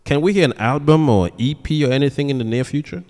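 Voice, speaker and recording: A man hosting a live interview, lively with many short affirmations. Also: medium pitch, fast talker, in a large hall. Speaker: radio host.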